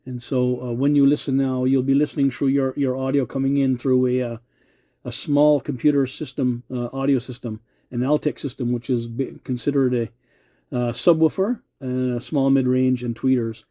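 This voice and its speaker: Older-ish guy doing relaxed radio chat, really soft dark tone, dry close sound, polite and hesitant, repeats himself. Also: medium pitch, moderate pace, no room sound. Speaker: amateur radio operator hosting a video channel. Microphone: cell phone filming the radio.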